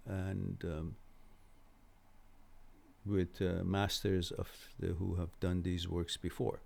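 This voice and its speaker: Low, creaky-voiced man, native Farsi speaker. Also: a little mellow. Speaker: host of a live mental health Q&A. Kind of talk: informal live lecture, answering viewer messages.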